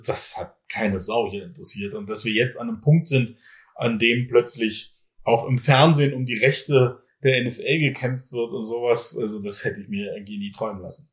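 A man's voice: mid-range voice, steady tone, normal speed. A man talks shop about running a football team in a casual podcast. His voice sits in the middle of the male range. He rambles, restarting sentences and leaning on fillers as he goes. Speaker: general manager of a football team.

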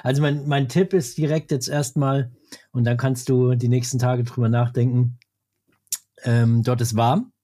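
Teasing podcast co-host, husky mid-range voice. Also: male voice, medium pitch, level tone, a little slow, warm tone. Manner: joking, teasing, laughs often. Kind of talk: casual chat.

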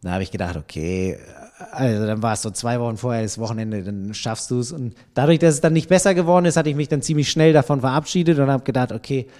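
A young man in a casual podcast conversation, talking in a relaxed way and thinking aloud with many fillers. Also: medium pitch, fast, few pauses. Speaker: podcast guest, triathlon editor.

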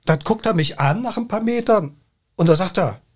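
High-voiced middle-aged man. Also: expressive, normal speed. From Cologne, Germany. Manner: emphatic, repeats phrases. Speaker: podcast guest, taxi driver. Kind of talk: casual anecdotal chat.

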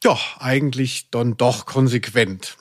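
A man's voice: mid-range voice, fairly even intonation, unhurried, no echo, studio quality. A man co-hosting a podcast, chatting and commenting casually in a wry, teasing way. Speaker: podcast co-host. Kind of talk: casual chat and commentary on radio plays.

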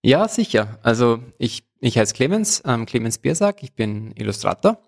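A young man from Salzburg with an Austrian German accent, chatting as a guest in a relaxed interview and laughing often. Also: medium pitch, normal speed, vocal fry. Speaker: guest illustrator. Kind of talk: casual interview chat.

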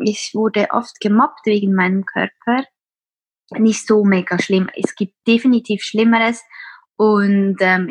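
A young woman in her twenties speaks with a Swiss German accent, in a slightly husky voice. She talks openly and casually, often pausing on filler sounds. The recording is dry and close. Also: medium pitch, dry recording. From Switzerland. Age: 20s. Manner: open, many filler sounds. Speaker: podcast guest, teacher and blogger. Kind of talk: casual interview talk.

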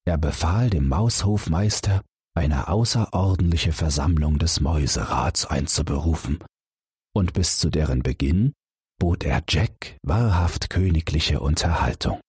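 A man calmly reading a fairy tale aloud as a bedtime audiobook narrator. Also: rumbling low, unhurried, breathy edge, dry recording, clean studio sound. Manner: calm, expressive storytelling.

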